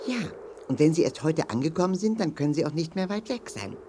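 A determined, friendly young-hearted adventurer in a children's radio play. The speech is eager and very lively in pitch, over faint background noise. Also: female voice, brisk. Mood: resolute. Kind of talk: German children's audio play acting.

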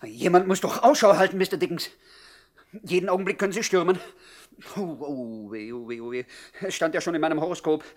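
An actor playing a timid, superstitious gangster underling, whiny and jittery, in a 1960s German radio comedy. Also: male voice, fairly high pitch, fairly quick, natural voice. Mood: lamenting.

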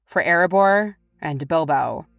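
A woman voicing a proud, brooding male dwarf warrior in a storybook, earnest and solemn, with a low voice. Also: expressive, measured pace, creaky, dry recording, exaggerated voice. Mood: resolute.

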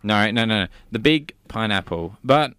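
A flustered, stammering man acting out a comedy sketch for a podcast. His pitch swings widely, and the recording is close and dry. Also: lively intonation, measured pace, a bit bright, smooth, dry recording.

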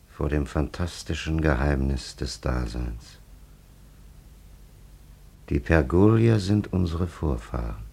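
An actor in a radio drama playing a solemn, grandiose old warrior grandfather, with a raspy voice and archaic, ponderous oratory. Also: extremely low pitch, dark tone, full character voice. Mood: solemn, proclaiming. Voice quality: gravelly, raspy.

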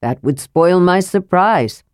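A woman voicing a wise, stern male gold dragon who speaks gravely and formally. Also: fairly low pitch, animated, slow pace, a little mellow, no echo, clean studio sound.

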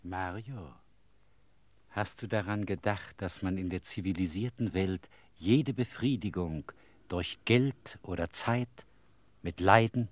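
A man's voice: low voice, slow, no room sound. A man acting in a German radio play as a defiant, poetic vagabond with a pointed, questioning manner. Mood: quiet, haunting.

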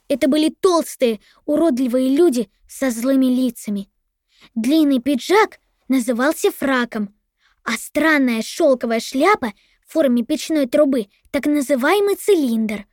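An actress playing a dutiful woman reciting a party history textbook in a deliberate, didactic audio-drama manner. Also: high voice, expressive, darkish tone, dry recording, studio quality. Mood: solemn, didactic.

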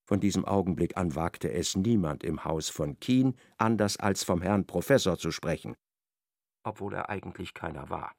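A man narrating a literary radio play in a dramatic, expressive, scripted style. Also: deep voice, moderate pace, creaky, no echo, clean studio sound.

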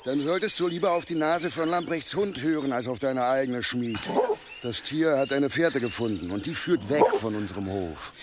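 A man plays a sardonic outsider in a German village radio drama. He speaks at a middling pitch, dry and unruffled, with clear outdoor noise behind him. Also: moderate pace, close to an everyday voice. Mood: calm. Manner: dry, mocking.

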